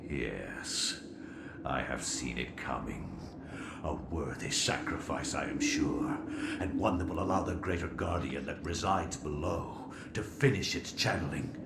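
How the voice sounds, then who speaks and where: A man playing a sinister, scheming servant of dark gods in a video game, grandiose and solemn. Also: a bass voice, unhurried, vocal fry, heavily acted. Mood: smug, brooding. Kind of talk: theatrical video game villain acting. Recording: echoing, very noisy game audio.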